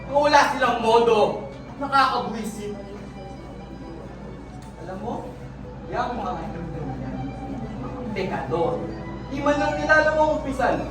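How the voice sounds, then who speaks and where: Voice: medium pitch, animated, a little slow, long pauses, lightly acted. A performer in a school stage play playing a haughty, irritable male friar who scorns the natives in a blustering way. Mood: scornful, irritated. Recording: echoey, distant stage recording with music behind.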